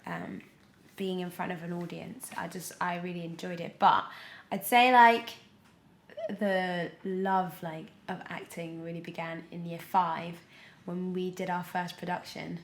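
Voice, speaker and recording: A young British woman chatting casually as a podcast guest, telling stories in an animated way peppered with "like". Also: fairly low pitch, a little slow, vocal fry, a little hiss or hum. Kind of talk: casual interview chat. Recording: roomy, echoey sound.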